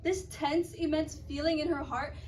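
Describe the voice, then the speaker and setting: A woman's voice: high voice, steady tone, normal speed, a little mellow. A young woman reciting earnestly and theatrically in a readers theater piece. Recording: distant mic, background noise.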